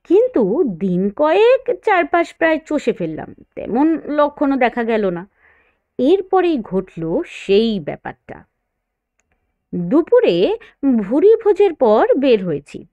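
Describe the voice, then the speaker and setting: A woman's voice: high-pitched, huge pitch swings, takes it slowly, pauses often, no room sound, muffled recording. A woman narrating an audio story in a dramatized storybook style.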